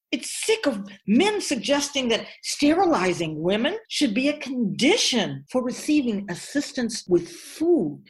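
A woman playing an otherworldly feminine spirit in a radio drama, speaking in a deliberate, emphatic, oratorical way, grave and candid. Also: a little high. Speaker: spirit guide being interviewed. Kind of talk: radio drama monologue, reflective.

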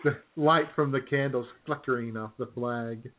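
A man's voice: mid-range voice, normal speed. A man co-hosting a comedic radio show, chatting with frequent laughs and mock outrage. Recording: phone-like radio audio.

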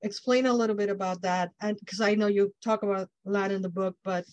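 A woman from Mexico hosting an interview, speaking English as her second language with a Mexican Spanish accent, warmly enthusiastic. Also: mid-range voice, steady tone, a little fast, dry recording, lo-fi sound. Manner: effusive, enthusiastic. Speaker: host. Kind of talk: interview chat.

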